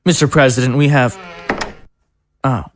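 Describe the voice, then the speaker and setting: An actor playing a presidential aide who speaks in a polished, rhetorical, conciliatory way. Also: male voice, mid-range voice, fairly even intonation, measured pace, background noise, natural voice. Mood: persuasive. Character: smooth persuasive presidential aide, man.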